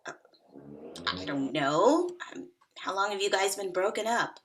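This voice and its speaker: An actress at a table read playing a blunt woman in her thirties, with a dry, deadpan delivery. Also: mid-range voice, measured pace, a little hiss or hum. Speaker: actor playing Megan. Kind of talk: table read of a comedy pilot.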